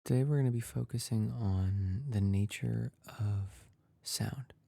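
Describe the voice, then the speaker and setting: A man leading a guided meditation in a soft, breathy, husky voice, calm and gentle, with long pauses. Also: fairly low pitch, no room sound, clean studio sound.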